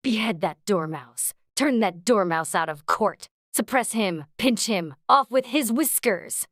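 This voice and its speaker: A narrator voicing an imperious, bloodthirsty queen with a shrill, commanding manner, in a storybook reading. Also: expressive, measured pace, fairly bright tone, no echo, clean studio sound. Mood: furious.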